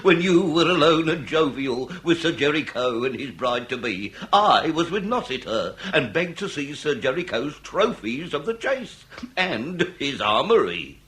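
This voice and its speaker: A man's voice: a little high, sing-song, a little slow, fairly bright tone. An actor playing a theatrical Victorian police inspector in a period comedy radio drama, British, eccentric and grandly savoring his own cleverness. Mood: savoring, dramatic.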